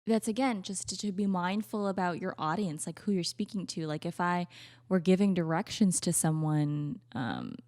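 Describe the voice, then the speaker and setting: A woman's voice: lowish voice, normal speed, a little mellow. A woman, co-hosting a podcast in an easygoing way and often agreeing and laughing along. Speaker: podcast co-host. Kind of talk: casual chat.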